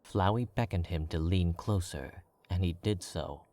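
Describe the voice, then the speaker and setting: A man narrating a fan fiction as an audiobook, in a measured, wry storytelling manner. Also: low voice, warm tone.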